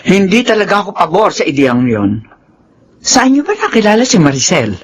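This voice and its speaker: Firm older mother, expressive. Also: mid-range voice, moderate pace, breathy edge. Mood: concerned, firm. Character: firm, caring older mother who disapproves. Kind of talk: radio drama acting. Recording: faintly noisy radio drama track.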